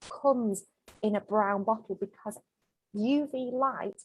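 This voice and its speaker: A woman's voice: mid-range voice, takes it slowly, slightly rough, dry recording, poor audio. A woman in her forties from the UK, a business owner talking frankly and emphatically as an interview guest. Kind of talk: casual interview chat.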